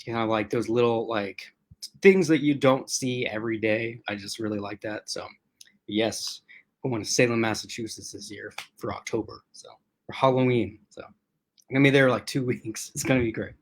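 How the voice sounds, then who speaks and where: A man hosting a relaxed livestream, talking straight to his chat viewers in a loose, rambling way. His voice is strained from being used a lot, and he stops often to clear his throat. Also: mid-range voice, moderate pace. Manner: chatty and rambling, addressing chat commenters. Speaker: host.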